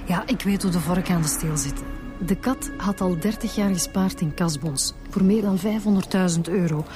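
A woman's voice: fairly low pitch, moderate pace, close to an everyday voice. A woman with a Flemish accent playing a direct, informal police detective in a radio drama. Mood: brisk, confiding. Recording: music behind the voice.

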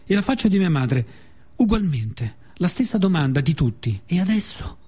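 A man with a Roman accent performs a scripted theatrical monologue, narrating in short fragments with dramatic pauses and repetitions. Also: on the high side, very animated, mellow, slightly rough. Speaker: actor and author of a radio monologue.

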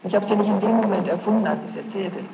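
A woman's voice: mid-range voice, fairly even intonation, rapid-fire. A young woman giving testimony as a witness in court, answering formally and defensively. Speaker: witness under questioning. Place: large reverberant courtroom, away from the mic. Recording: distant, muffled, noisy echoing archival tape.

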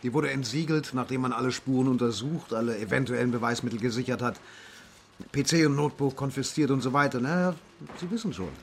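Actor as a brisk, offhand police inspector. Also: male voice, mid-range voice, a little hiss or hum, close to an everyday voice. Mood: businesslike. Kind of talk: radio play acting.

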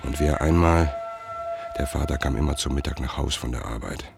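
A man acting as a brooding, suspicious middle-aged writer, narrating a radio play in the first person with a gravelly, measured, introspective delivery. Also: very deep voice. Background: music.

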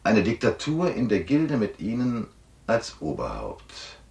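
An actor playing a shrewd, relentless investigator in a German radio play, with a probing, controlled, insistent manner. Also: male voice, lowish voice, wildly swooping pitch, a little slow, vocal fry, darkish tone, echoey room, full character voice. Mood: pressing, accusing. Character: shrewd, relentless male investigator cornering a suspect.

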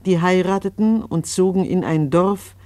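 A solemn radio-play chronicler, played by a man, recites genealogy like scripture. The delivery is measured and formal, in a dry recording without room sound. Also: high voice, warm tone, no room sound, natural voice. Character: solemn biblical-style chronicler of a farming family.

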